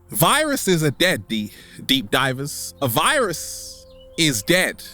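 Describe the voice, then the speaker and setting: A man's voice: fairly high pitch, bright, forceful tone. A man giving a motivational monologue as an online host, theatrical and exclamatory, drawing out his words. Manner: theatrical, exclamatory, with drawn-out words and sudden swoops. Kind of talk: motivational monologue to camera, catchphrase-heavy. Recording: background music under the voice.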